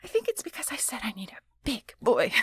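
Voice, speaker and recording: A woman playing a defiant, domineering female boss in an adult audio drama, with breathy delivery that often drops to a hush. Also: sits high, very animated, a little fast, no room sound, heavily acted. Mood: breathless, taunting. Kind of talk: erotic audio roleplay acting.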